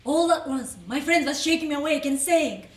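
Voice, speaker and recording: A woman giving a deliberate, expressive dramatic reading of a wartime letter for a readers theater. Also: sits high. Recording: echoey room.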